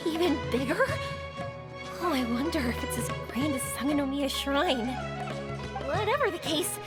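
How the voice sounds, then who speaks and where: A woman voicing a cheerful, excitable young woman traveller in a video game, gushing as she talks. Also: sits high, moderate pace, natural voice. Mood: excited. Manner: gushing, exclamatory. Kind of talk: animated video game voice acting. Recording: music behind the voice. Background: game music.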